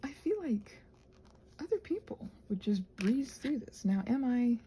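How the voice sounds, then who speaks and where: A soft-spoken woman in a casual, rambling chat while she crafts, meandering and interrupting herself as she murmurs along. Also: on the high side, sing-song, measured pace, a little hiss or hum. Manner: meandering, digressive, self-interrupting. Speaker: craft vlogger and audio creator.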